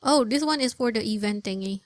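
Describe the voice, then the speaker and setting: A woman's voice: a little high, a little fast, no echo, clean studio sound. A woman giving casual gameplay commentary, muttering to herself and repeating phrases as she plays. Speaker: gaming streamer. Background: game music.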